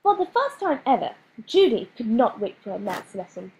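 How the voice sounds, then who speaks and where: A woman narrating a children's storybook in a slow, expressive reading with lively emphasis. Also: a little high, slight background noise, low-quality recording. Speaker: audiobook narrator.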